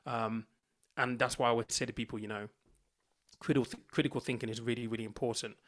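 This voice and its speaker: A man's voice: mid-range voice, fairly even intonation, quick pace, a bit bright. Earnest man explaining with anecdotes. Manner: earnest, explanatory, acts out dialogue. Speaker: financial coach, guest. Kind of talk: conversational storytelling.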